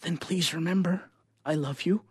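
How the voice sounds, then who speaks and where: A man's voice: a little high, moderate pace, breathy edge, dry recording, clean studio sound. A man voicing an earnest, resolute scientist husband, tender and grave, in a dramatic short story.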